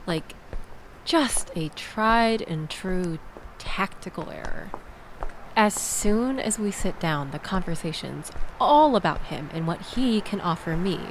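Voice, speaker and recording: A woman playing a sarcastic young woman venting about her evening in an intimate, conversational audio roleplay, with expressive, wry delivery. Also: fairly low pitch, noisy background. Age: young adult. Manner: wry, sarcastic, animated storytelling with sighs and laughs.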